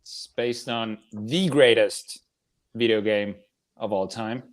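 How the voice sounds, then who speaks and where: A man's voice: lowish voice, steady tone, takes it slowly. A man speaking as a panelist in a casual movie discussion on a podcast.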